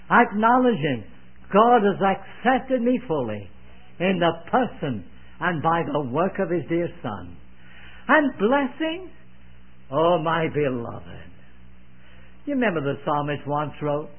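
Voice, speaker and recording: A man in his eighties preaching a sermon, earnest and emphatic, repeating phrases with solemn, old-fashioned devotional wording. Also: high-pitched. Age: 80s. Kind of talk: sermon, expounding scripture. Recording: narrow, phone-like old sermon recording.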